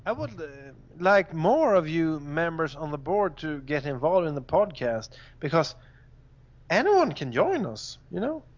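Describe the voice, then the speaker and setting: Higher-voiced Swedish man, casual podcast chat. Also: sing-song, a little slow, dull audio. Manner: searches for words, hesitant phrasing. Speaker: podcast co-host.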